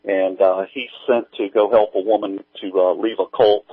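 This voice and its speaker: A man talking casually as an interview guest, halting and hesitant as he explains things over a remote call. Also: on the low side, fairly even intonation, slightly rough, no room sound. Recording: tinny, narrow phone-like line.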